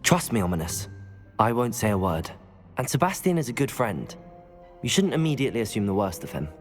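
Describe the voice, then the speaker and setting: Wizard student in a game, polite n guarded, lowish voice, music under it. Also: male voice, very animated, brisk. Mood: reassuring. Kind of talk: dramatic video game dialogue.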